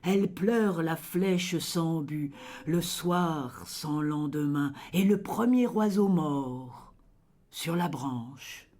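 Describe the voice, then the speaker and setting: A person reciting poetry in a solemn, measured and dramatic way, letting phrase endings fall into a hush. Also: medium pitch. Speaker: poetry reader.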